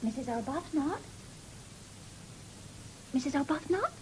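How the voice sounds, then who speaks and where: A very high, lively young English servant girl, polite and sensible. She is heard in a radio play on a slightly noisy old broadcast. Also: measured pace. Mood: soothing, patient. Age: young adult. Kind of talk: 1970s radio drama acting.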